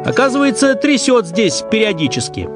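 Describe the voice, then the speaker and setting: A male reporter narrates a travel documentary in a notably high register. His delivery is scripted and story-like. Music plays clearly behind him. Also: very animated, normal speed. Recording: music bed under the voice.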